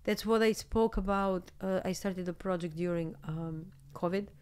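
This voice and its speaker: A woman in her thirties from Serbia with a Serbian accent, chatting candidly as a guest on a podcast in a small studio, speaking close into a boom microphone. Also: moderate pace, clean tone. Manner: candid, animated, laughs often. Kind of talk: casual interview chat. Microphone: studio mic on boom arm, headphones.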